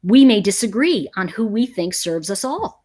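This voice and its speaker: A woman's voice: mid-range voice, big pitch swings, moderate pace, a little mellow. A middle-aged American woman hosting a casual livestream chat, talking emphatically and conversationally and repeating phrases for stress. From United States. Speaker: livestream host; teacher. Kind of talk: casual livestream chat, reading and answering viewer comments.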